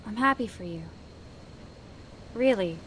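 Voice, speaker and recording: An actress playing a gentle, wistful young traveller in an audio drama, hesitant and soft-hearted. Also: female voice, mid-range voice, expressive, unhurried, slight background noise. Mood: hurt.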